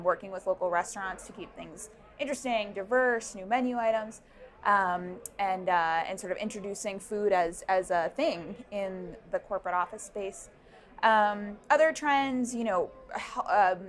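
Young female founder, lapel-miked at a busy event; thoughtful, halting delivery with frequent ums. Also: medium pitch. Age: young adult. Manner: thoughtful, many ums and restarts. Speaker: startup founder. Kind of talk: interview answers. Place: busy indoor networking event. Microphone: lapel mic.

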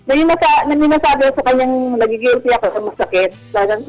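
A Filipino woman speaks as a radio caller, confiding in the hosts in casual, personal talk. Soft music runs underneath her voice. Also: sits high, fast, no echo, rough recording. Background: background music.

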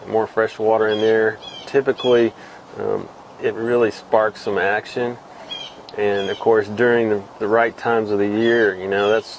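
A man's voice: lowish voice, slight background noise, low-quality recording. A middle-aged man from Texas hosting a casual fishing report to camera outdoors, rambling with many fillers and restarts. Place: outdoors in front of a cluttered shed wall.

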